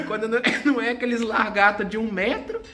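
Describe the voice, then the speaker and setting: A middle-aged Brazilian man with a high, creaky voice, chatting casually and expressively in a home vlog. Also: brisk, pushed and bright. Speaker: home vlogger.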